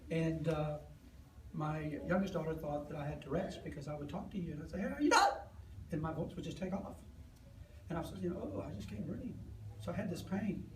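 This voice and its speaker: A hoarse man in his fifties, earnest and plain-spoken, telling his story to an audience and acting out the dialogue. His voice sits a little high for a man. The recording is distant and echoey, with steady room noise behind him. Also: a little fast. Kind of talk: personal storytelling to a live audience. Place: event hall with an audience.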